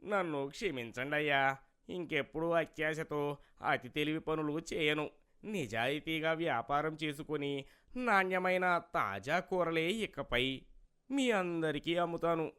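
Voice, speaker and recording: A man's voice: on the high side, unhurried, pushed and bright, clear, resonant tone. A man voicing a greedy, wheedling village vegetable seller in a cartoon moral story, with a plaintive manner. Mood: repentant. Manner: rustic, colloquial, plaintive way of talking.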